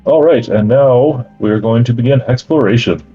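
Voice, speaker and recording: A man acting as game master, reading out campaign lore and then explaining the travel rules in a relaxed way with many fillers. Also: mid-range voice, moderate pace, husky, highs cut off, faint music behind.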